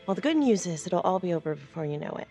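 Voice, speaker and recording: A woman's voice: fairly low pitch, big pitch swings, fast, dark tone. A woman acting a composed, probing district attorney in a crime game, cool and direct in a naturalistic manner. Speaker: video game actor. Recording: faint music under the dialogue. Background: background music.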